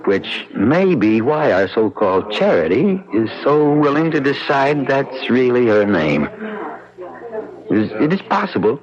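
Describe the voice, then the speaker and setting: A man's voice: mid-range voice, very animated, measured pace. An actor playing a worried, principled male chief of staff at a hospital in a 1970s radio drama, grave and emphatic. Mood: firm, suspicious. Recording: dull lo-fi radio recording, crowd murmur behind.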